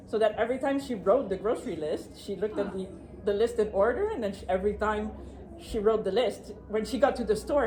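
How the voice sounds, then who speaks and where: A woman with a French accent gives an informal live workshop as presenter, talking quickly and chattily with self-deprecating humor. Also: mid-range voice, a little mellow, slight background noise, rough recording.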